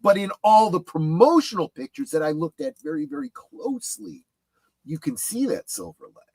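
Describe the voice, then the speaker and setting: Middle-aged man in halting chat, dry sound. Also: high voice, big pitch swings, creaky, darkish tone, husky, no room sound. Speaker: panelist on a fan discussion show. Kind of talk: casual chat about films and memorabilia.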